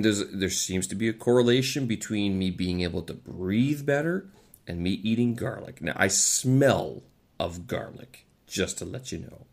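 Low-voiced congested man. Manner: chatty, hesitant, with many fillers and restarts. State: stuffy nose from a head cold. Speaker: vlogger. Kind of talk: casual rambling monologue. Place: living in China.

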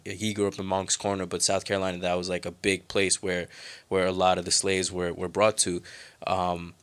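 A young man with a fairly low voice hosts a relaxed conversation. He talks in a loose, easygoing way with a lot of repeated starts. When he quotes himself or someone else, his voice leaps sharply upward. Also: fairly quick, smooth. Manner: loose talk, voice jumps high for quoted asides. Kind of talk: casual conversation.

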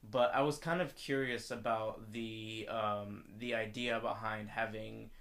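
A man's voice: lowish voice, steady tone, measured pace, creaky, fairly bright tone. A young man co-hosting a podcast, asking questions hesitantly and carefully, with many "um"s. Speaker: podcast co-host. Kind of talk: casual interview questions.

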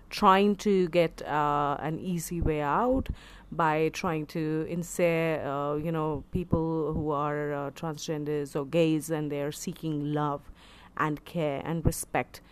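A woman with an Indian English accent hosting a casual chat, animated and exclamatory, slipping into Hindi. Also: deep voice, clean tone. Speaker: interview host.

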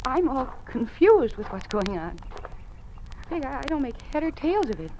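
A woman's voice: high-pitched, very animated, normal speed. An actress playing an earnest, bewildered young female teacher in a 1950s radio drama, speaking in a polite, unsure way. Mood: confused. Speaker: new teacher. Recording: old radio broadcast with faint hiss.

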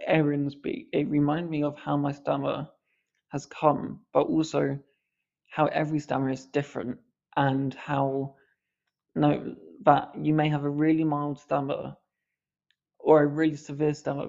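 Brief: male podcast host, earnest, slight stammer, flat and gravelly delivery, lo-fi audio. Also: a little high, moderate pace. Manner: earnest, mild stammer on odd words. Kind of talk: reflective podcast commentary.

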